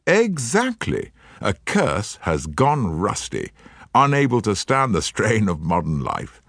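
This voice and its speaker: A man voicing a wry young English gentleman who speaks slowly in a jesting tone. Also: on the low side, huge pitch swings, no echo, clean studio sound, full character voice. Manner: slow, jesting.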